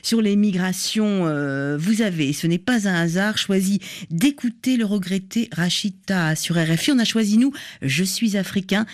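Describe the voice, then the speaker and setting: A woman's voice: mid-range voice, normal speed. A woman presenting and asking questions as a radio interview host.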